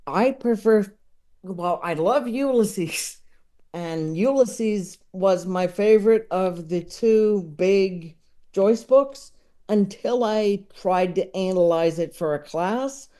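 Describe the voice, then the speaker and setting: A woman chatting casually as a podcast guest, enthusiastic and digressive, often halting as she works out her thought. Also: mid-range voice, dark tone. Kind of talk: casual chat about books and comedy.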